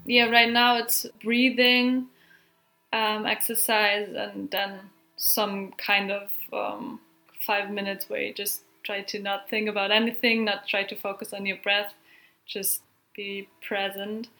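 A young woman whose first language is German talks through her answers in an interview. Her voice is bright and carries forward, and her phrasing is halting and reflective. She laughs easily between her thoughts. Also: mid-range voice, level tone, low-quality recording. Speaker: athlete guest.

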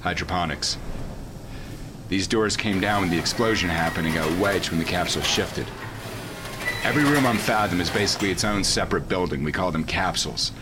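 Man acting a blunt low-voiced commander, noisy rumble. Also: lightly acted. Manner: blunt, terse, practical. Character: blunt, gruff male commander of deep-sea base. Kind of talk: sci-fi audio drama acting. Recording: loud rumbling industrial ambience.

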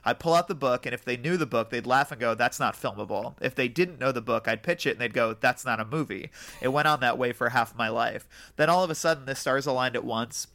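A man's voice: mid-range voice, fairly quick, vocal fry, few pauses, bright, forceful tone. A man hosting a podcast, talking casually about film and interviews. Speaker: podcast host. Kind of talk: casual film discussion with quoted interview material.

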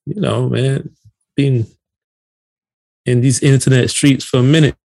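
Male podcast co-host, late thirties, from Barbados. Casual delivery, mid pitch, dry recording. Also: steady tone, unhurried, gravelly, a little mellow, no room sound. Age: late 30s.

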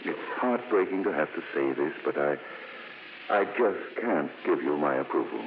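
A kindly, cautious bishop with a low voice and very expressive intonation. He speaks deliberately, with thoughtful pauses, in old radio drama style. The broadcast is noisy and narrow. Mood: regretful, kindly. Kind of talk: 1950s radio drama acting.

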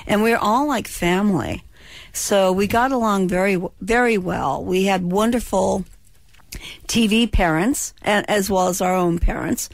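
A woman's voice: mid-range voice, a little slow, vocal fry, no room sound. A woman in her sixties from California, a former child actor chatting and joking as a radio guest, with a slight lisp. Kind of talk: casual radio interview chat.

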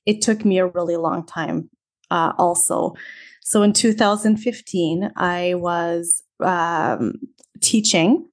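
A woman in her thirties with a Canadian accent, telling her story as an interview guest in a friendly, measured way. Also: fairly low pitch, steady tone. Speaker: teacher and author, guest.

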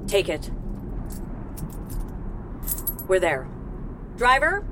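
An actress playing an unflappable fugitive schemer in an audio drama, brisk, deadpan and authoritative. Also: female voice, medium pitch. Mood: commanding. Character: cool, commanding woman fugitive and schemer, unflappable. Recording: vehicle noise behind.

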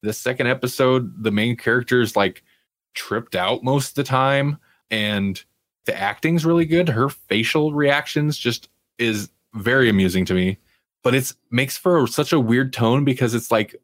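A man's voice: medium pitch, moderate pace, no room sound. A man hosting a TV review podcast in casual chat, rambling and informal with an amused manner. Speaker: podcast host reviewing TV shows.

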